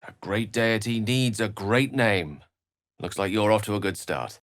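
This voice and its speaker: A man's voice: lowish voice, lively intonation, a little slow, no echo, clean studio sound. A man playing an erudite, courteous and wry wizard in a video game, speaking in eloquent, theatrical sentences. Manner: eloquent, articulate, theatrical, wordy. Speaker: video game character voice actor.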